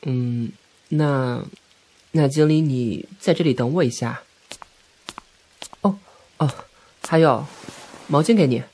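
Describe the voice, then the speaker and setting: A man's voice: medium pitch, slow pace, long pauses, a little mellow, slight background noise, low-quality recording. A man playing a naive, polite young male office worker in an audio drama, hesitant and boyish. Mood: shy, polite.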